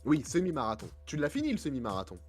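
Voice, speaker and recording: A man with a head cold and a hoarse voice, hosting a casual podcast chat with many fillers and self-mocking asides. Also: a little high, huge pitch swings, fast, soft background music. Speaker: podcast host.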